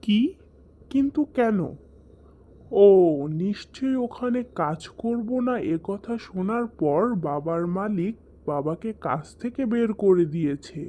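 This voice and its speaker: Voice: medium pitch, unhurried, dark tone, lightly acted. A performer voicing an earnest, respectful young son from a poor family in a cartoon. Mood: shocked. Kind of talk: cartoon voice acting.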